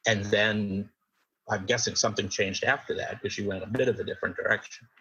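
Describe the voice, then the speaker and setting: Husky bright-toned man, lo-fi sound. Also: fairly low pitch, fairly even intonation. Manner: halting, rephrasing questions with fillers. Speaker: radio interviewer. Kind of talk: interview questions.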